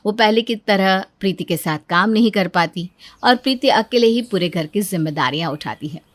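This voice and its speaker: A woman's voice: mid-range voice, moderate pace, no room sound. A woman reading scripted story narration.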